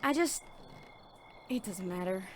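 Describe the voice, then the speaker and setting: A woman's voice: mid-range voice, lively intonation, unhurried, a little hiss or hum, natural voice. A woman playing an earnest, naive young sheriff's deputy, hesitant and dutiful, in a Western audio drama.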